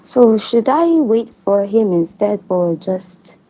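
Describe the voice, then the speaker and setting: A woman in her twenties from the Philippines calling an advice show, halting and hesitant, with many restarts. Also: mid-range voice. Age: 20s. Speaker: caller. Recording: dull dry phone-line sound.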